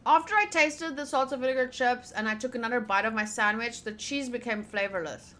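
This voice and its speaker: A woman's voice: on the high side, a little fast, sharp tone, a little hiss or hum. A young woman streaming a visual novel, chatting casually in a playful, sweary, slangy way. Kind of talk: casual gameplay chat, reading game lines aloud.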